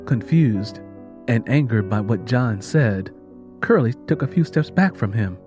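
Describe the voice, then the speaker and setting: A man's voice: medium pitch, expressive, measured pace, warm tone, soft background music. A man narrating a scripted audio drama as a dramatic storyteller.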